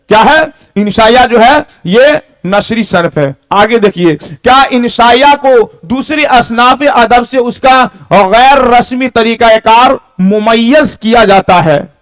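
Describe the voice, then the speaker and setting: A man's voice: very high-pitched, a bit bright. A man teaching an exam-prep lesson, reading out questions and answers, repeating them and checking that students follow.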